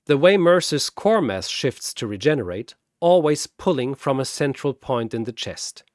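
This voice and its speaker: A man's voice: mid-range voice, expressive, normal speed, a little mellow, no room sound, studio quality. A man narrating a scripted battle story and announcing its fighters in a dramatic style.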